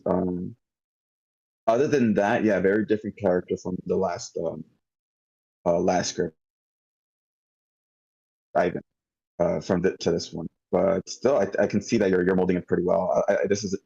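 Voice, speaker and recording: A man giving off-the-cuff script feedback on an online group call, halting and circling back mid-thought. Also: low voice, flat delivery, gravelly, warm tone, husky, lo-fi sound. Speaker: table read participant giving script feedback.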